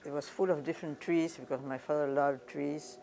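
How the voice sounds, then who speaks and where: Older woman with a gravelly voice. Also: sits low, steady tone, mellow, slight background noise, low-quality recording. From Argentina. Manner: unhurried, searching for words, repeating phrases. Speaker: painter being interviewed. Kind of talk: reflective interview answers.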